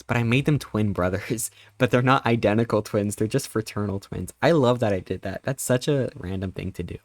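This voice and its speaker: A man in his thirties, a live streamer chatting casually and greeting viewers, rambling playfully with sudden squeaky jumps in pitch. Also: dry recording, clean studio sound. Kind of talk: casual live-stream chat reading chat messages.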